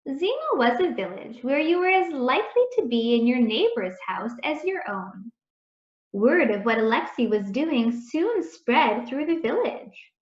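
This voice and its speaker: Female storyteller for a kids' read-aloud, slow and lively, in a slightly dull, roomy recording. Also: high voice. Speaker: children's story reader.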